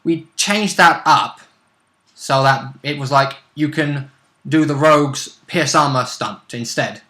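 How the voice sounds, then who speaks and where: A man's voice: a little high, slow, bright, forceful tone. A man co-hosting a casual tabletop game chat, explaining rules and characters in an informal, speculative, rambling way. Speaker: co-host and player in a tabletop role-play series.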